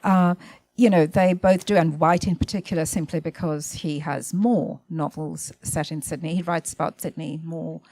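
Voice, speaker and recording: A woman speaking on a literary panel, thinking aloud in a halting, self-correcting way. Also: deep voice, steady tone, a little mellow.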